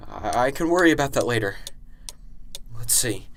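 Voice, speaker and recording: A man's voice: mid-range voice, animated, a bit bright, a little hiss or hum. A man playing a nervous, unsure professor in a radio drama, hesitantly talking to himself. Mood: uncertain, pondering. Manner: hesitant, muttering to himself, halting.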